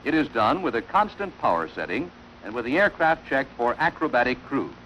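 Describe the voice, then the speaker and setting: Male narrator, medium pitch, lively intonation, formal and instructive, measured wartime training film delivery, faint noise on old film soundtrack. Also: highs cut off.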